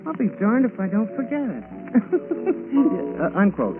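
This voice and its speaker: An American actor playing a simple, good-natured bartender pal in a 1940s radio drama, slangy, ungrammatical and bumbling. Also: male voice, high voice, wildly swooping pitch, normal speed. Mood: sly, good-natured. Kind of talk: 1940s radio comic character acting. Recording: tinny 1940s radio broadcast, music.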